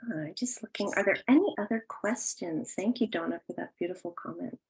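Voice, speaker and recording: A woman's voice: fairly low pitch, brisk, creaky, low-quality recording. A woman hosting a virtual question and comment session, encouraging and affirming as she talks, hesitantly searching for words. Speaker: host of an online audience discussion. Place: online session, remote call.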